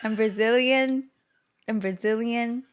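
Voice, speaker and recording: A young woman co-hosting a casual podcast, telling stories in an animated way with swearing and laughter. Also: a little high, takes it slowly, clear, resonant tone. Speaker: podcast co-host. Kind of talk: casual chat.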